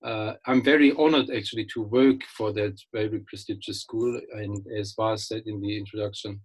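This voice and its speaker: Husky-voiced German man lecturing. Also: lowish voice, fairly even intonation, normal speed, low-quality recording. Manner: hesitant, many fillers and restarts, friendly. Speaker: guest speaker, business lecturer. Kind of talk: webinar talk. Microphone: computer mic on a video call.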